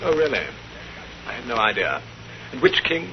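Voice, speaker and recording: An actor playing a haughty, wounded aristocrat with an English accent, speaking in a stiff, halting, pompous way in a 1930s radio drama. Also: male voice, mid-range voice, sing-song. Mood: affronted, haughty. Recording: noisy, muffled 1930s radio recording.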